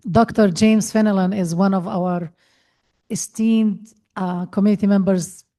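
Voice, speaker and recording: A woman's voice: medium pitch, steady tone, measured pace, warm tone. A woman moderating an event, directing the questions and introducing a faculty member. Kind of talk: hosting a Q&A.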